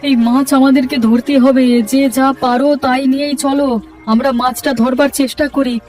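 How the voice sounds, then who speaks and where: A man playing a poor, eager village man in a cartoon fairy tale, pleading and excitable. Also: very high-pitched, moderate pace, recorded from a distance, soft background music. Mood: eager, excited.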